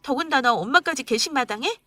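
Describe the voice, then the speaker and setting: A woman's voice: lively intonation, brisk, bright, forceful tone, no room sound. A woman playing a hurt, indignant wife. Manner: tearful, indignant, voice breaking high. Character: hurt young wife pleading and arguing back.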